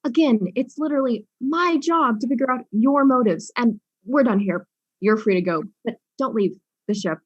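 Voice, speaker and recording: A woman's voice: fairly high pitch, moderate pace, breathy edge, highs cut off. A woman as a curt, no-nonsense detective. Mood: stern. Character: blunt, tough, exasperated detective questioning suspects. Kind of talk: comic audio mystery drama acting.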